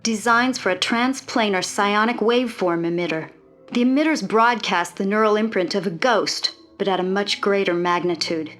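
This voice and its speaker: Woman voicing a tough young soldier woman, curt, mid-pitched, game music underneath. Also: normal speed, a bit bright, natural voice. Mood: cool. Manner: curt, cool, matter-of-fact. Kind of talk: video game cutscene dialogue.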